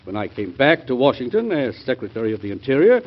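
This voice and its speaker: Through a dull old radio recording, an earnest male cabinet secretary defending his honor. His husky voice moves animatedly as he speaks in formal, emphatic 1940s radio drama fashion. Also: mid-range voice, big pitch swings, fast talker. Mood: defensive, emphatic.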